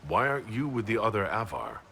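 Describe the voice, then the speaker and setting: Elf hero in a game, low but bright crisp-edged voice, calm and dry. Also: male voice, a little fast, a little hiss or hum, full character voice. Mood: composed. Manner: composed, dry, questioning. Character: male elf hero leader, composed, dryly witty. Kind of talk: video game dialogue.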